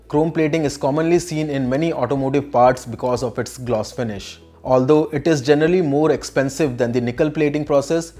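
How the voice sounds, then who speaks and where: Casting: male presenter, young adult, Indian accent, medium pitch, measured scripted delivery for instructional business videos. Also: warm tone. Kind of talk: scripted explainer narration.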